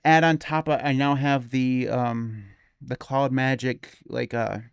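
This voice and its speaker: Man, 40, tech podcast co-host; rambling, stumbly delivery, smooth voice, dry close sound. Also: mid-range voice, steady tone, slow pace, no echo. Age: 40s. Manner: rambling, stumbling restarts, laughs easily. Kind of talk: casual tech chat.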